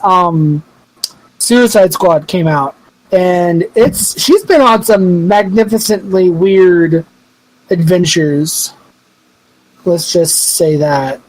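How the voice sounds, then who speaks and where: A high-pitched young man in his twenties co-hosts a podcast. He rambles through loose casual chat and drops curse words freely. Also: low-quality recording. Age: 20s. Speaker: podcast co-host.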